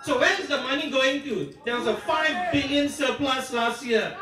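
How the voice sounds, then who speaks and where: High-voiced Singaporean man rallying a crowd. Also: normal speed. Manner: rousing, declamatory, chuckles between points. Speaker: protest speaker. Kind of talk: outdoor rally speech. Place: outdoor park rally. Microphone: amateur recorder from far off. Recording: distant, echoey, loud crowd noise. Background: large cheering crowd.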